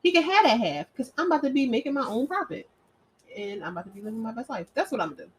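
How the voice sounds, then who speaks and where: Playful, boastful young woman, high voice. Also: big pitch swings, very fast, a little hiss or hum. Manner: playful, boastful, dramatic swings in loudness. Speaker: podcast co-host. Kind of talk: casual chat.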